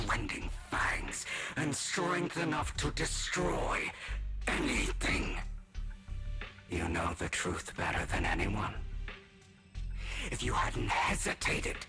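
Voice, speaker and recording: Dark male inner self, tempting, menacing half-whisper, slow and low; game music underneath. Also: exaggerated voice. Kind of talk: video game cutscene voice acting.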